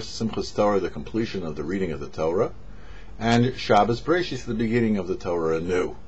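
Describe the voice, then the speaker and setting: A man, a rabbi, giving a short sermon with parables in a measured storyteller's delivery, pausing between phrases. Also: on the low side, breathy edge. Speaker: rabbi, host of a weekly Torah portion show. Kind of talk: sermon with storytelling, read for a show. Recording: dull, lo-fi audio.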